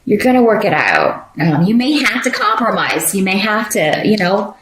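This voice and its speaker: A woman's voice: mid-range voice, very animated, moderate pace, fairly bright tone, reverberant, low-quality recording. A woman giving a casual tarot reading, rambling with many fillers and restarts. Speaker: tarot reader.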